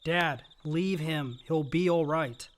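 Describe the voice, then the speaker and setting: An actor playing a dutiful but resentful son, matter-of-fact and curt, in a naturalistic radio play. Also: male voice, a little high, slow. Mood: dry, resigned.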